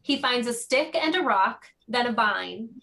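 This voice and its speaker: A woman reads stage directions as a narrator. Her voice is on the high side and clearly bright, delivering scripted narration for a play. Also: lively intonation, measured pace.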